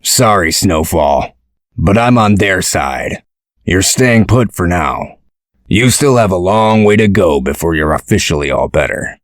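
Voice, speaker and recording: A man playing a gruff, protective male character in a comic dub, speaking in a measured, dry, explanatory way. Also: sits low, expressive, no echo. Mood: dry, caring.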